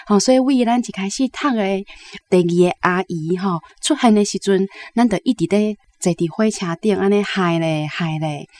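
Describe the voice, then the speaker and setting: A woman's voice: mid-range voice, moderate pace, darkish tone. A woman with a Taiwanese accent giving explanatory commentary on a book as a guest.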